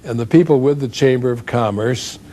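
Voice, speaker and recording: An older man tells anecdotes in an interview. His voice is very soft-edged and mellow at a medium pitch, and he recounts old conversations line by line. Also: normal speed. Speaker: interviewee, former radio host.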